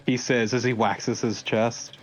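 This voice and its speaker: A man's voice: medium pitch, flat delivery, a little slow. A man co-commentating a video game in casual, joking chat. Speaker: let's play co-commentator. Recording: clear background noise.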